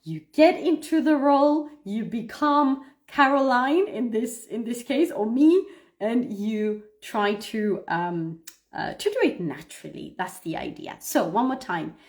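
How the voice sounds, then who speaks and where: Expressive woman teaching English live. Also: a little high, unhurried. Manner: instructive, expressive, emphatic, often checking understanding. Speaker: English pronunciation teacher, livestream host. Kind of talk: live lesson talking to viewers, demonstrating read-aloud.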